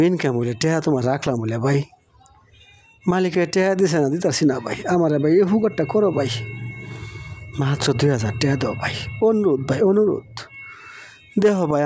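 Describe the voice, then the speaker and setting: A man's voice: fairly high pitch, a little mellow, lightly acted. A man playing a poor, anxious village father in a cartoon moral story, pleading plaintively and repetitively in a rural Bangladeshi Bengali accent.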